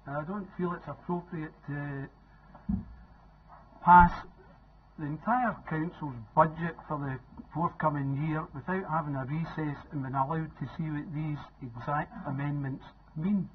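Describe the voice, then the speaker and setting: Firm councillor, tinny audio. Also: male voice, a little high, fairly even intonation, measured pace. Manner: firm, insistent. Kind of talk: objecting in a council debate. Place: council chamber, indoors. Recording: tinny phone-like sound, faint noise.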